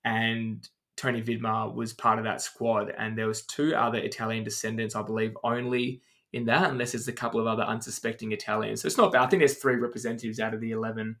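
A man's voice: lowish voice, level tone, fast, a bit bright. Man rattling off facts. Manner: explains at length, runs through names with many "um"s. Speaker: podcast co-host. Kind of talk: casual podcast chat.

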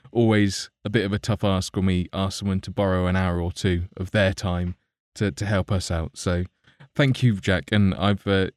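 A man with a lowish voice hosts a relaxed podcast. He rambles, restarts his sentences and laughs a lot. The sound is dry and close. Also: dry recording. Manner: rambling, laughs often, trips over words. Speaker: podcast host. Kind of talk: casual podcast chat.

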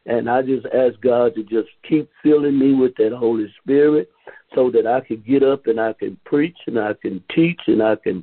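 Husky man testifying over a phone line. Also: medium pitch. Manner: earnest, repetitive praise. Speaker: church member giving testimony. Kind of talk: testimony on a church conference call. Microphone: telephone.

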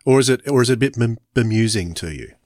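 A man interviewing a guest in a conversational way, phrasing his questions a little haltingly. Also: mid-range voice, animated, darkish tone, no echo, studio quality. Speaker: podcast interviewer.

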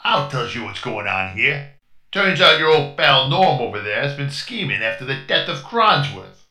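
A man's voice: medium pitch, very animated, normal speed, roomy sound, over music, close to an everyday voice. A man voicing a robot posing as a streetwise undercover detective with a blunt, commanding manner. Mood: tough.

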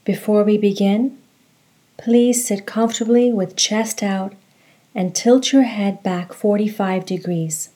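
Woman, meditation guide, unhurried pace, relaxed dark timbre, calm measured delivery. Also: mid-range voice. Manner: slow, calm, measured instructions. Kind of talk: guided meditation narration with recitation.

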